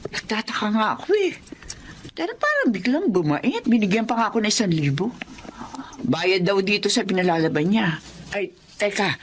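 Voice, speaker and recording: A woman's voice: mid-range voice, very animated, normal speed, slight background noise, natural voice. An actress playing a kindly, easily alarmed middle-aged mother in a Filipino drama. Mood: puzzled. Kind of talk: Filipino radio-style drama acting.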